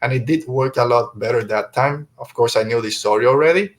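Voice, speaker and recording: A man's voice: mid-range voice, brisk. A middle-aged man who is a native Spanish speaker, hosting a podcast and chatting casually through a review. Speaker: podcast host.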